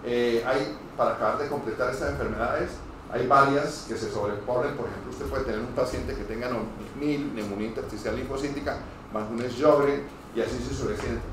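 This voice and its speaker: A man's voice: mid-range voice, steady tone. Colombian man lecturing from far off, very echoey. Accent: northeastern Colombian Spanish. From Cúcuta, Colombia. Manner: hurried, digressive, with repeated stammered false starts. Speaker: pulmonologist teaching medical trainees. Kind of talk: informal medical lecture. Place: echoey room, speaking to a group. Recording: very echoey room, distant mic, noisy.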